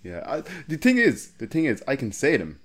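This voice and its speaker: An Irish man co-hosting a podcast, talking quickly and laughing easily. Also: medium pitch, huge pitch swings, a bit bright, slight background noise. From Ireland. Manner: fast talker, laughs often. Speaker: podcast co-host, tattooist.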